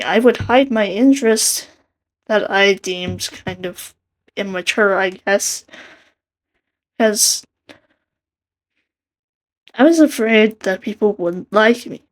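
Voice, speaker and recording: A soft-spoken young woman with a quiet voice, giving earnest casual commentary and laughing between thoughts. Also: medium pitch. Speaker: art commentary video maker. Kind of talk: casual commentary over a speedpaint.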